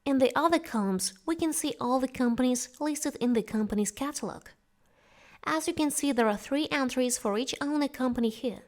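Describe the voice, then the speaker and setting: A woman's voice: high-pitched, normal speed. A woman instructor reading a scripted tutorial narration, giving step-by-step directions. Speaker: software course instructor.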